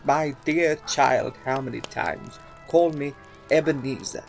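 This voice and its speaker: An actor playing an older, effusively generous Victorian gentleman in a theatrical radio play style. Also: medium pitch, slow pace, vocal fry, faint music behind. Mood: jovial, generous.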